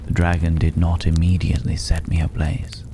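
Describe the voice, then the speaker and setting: A man playing a mysterious, courteous stranger in an intimate fantasy ASMR roleplay, speaking softly and pensively in formal, old-fashioned phrases, sometimes near a whisper. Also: deep voice, monotone, normal speed, noisy background. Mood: reflective, intrigued. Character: mysterious, courteous male stranger living in a dragon's cave.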